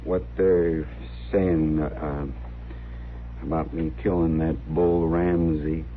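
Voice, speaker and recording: An actor playing a troubled, gentle, simple-minded young man of the frontier in a 1950s radio western, with halting, earnest, rustic speech. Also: rumbling low, little pitch movement. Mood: confused, subdued. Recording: muffled old radio recording, faint noise.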